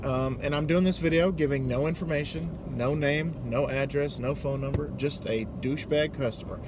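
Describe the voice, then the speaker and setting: A man's voice: fairly high pitch, steady tone, normal speed. An American man casually telling a personal story, hesitant and sweary, still a bit worked up. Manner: hesitant, sweary, acting out dialogue. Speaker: storytelling vlogger and delivery driver. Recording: noisy, car-like ambient background noise.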